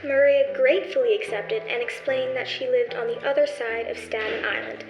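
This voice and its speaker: A woman's voice: a little high, fairly even intonation, normal speed, lo-fi sound. Woman, radio play narrator, scripted storytelling, echoey room, music behind.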